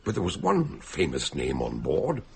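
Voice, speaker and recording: An actor playing an elderly, eccentric detective doctor in a radio drama, genial and theatrical with a gravelly, husky voice. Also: male voice, very deep voice, huge pitch swings, normal speed, a little mellow, heavily acted. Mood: genial, chatty. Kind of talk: radio drama acting and narration.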